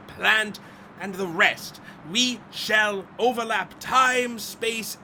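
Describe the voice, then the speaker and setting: A driven inventor in a horror radio play. His high, bright voice makes grand, intense proclamations with a defiant edge, over some background noise. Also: heavily acted. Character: obsessive, grandiose, defiant male scientist inventor. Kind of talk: theatrical radio drama acting.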